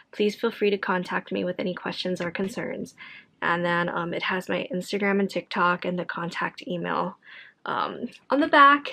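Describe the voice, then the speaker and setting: A woman's voice: mid-range voice, a bit bright. A tired young woman vlogging casually to camera from her home office, chatty and rambling with many fillers. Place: home office room, indoors.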